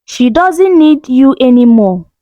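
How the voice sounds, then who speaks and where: Lady playing a caring protective boyfriend in a folktale, measured, slow, melodic delivery. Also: high-pitched, big pitch swings, warm tone, dry recording, muffled recording. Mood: warning.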